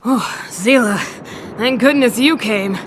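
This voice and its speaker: A woman's voice: a little high, takes it slowly, slight background noise. A woman voicing an earnest, somewhat hesitant young female miner in a video game. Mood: relieved, worried. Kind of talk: anime-style video game voice acting. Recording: loud game music underneath. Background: game cutscene music.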